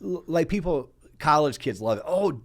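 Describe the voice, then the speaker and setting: A man hosting a podcast, telling investing stories in a casual, animated way and acting out the people he quotes. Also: on the high side, moderate pace. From Florida. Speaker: podcast host.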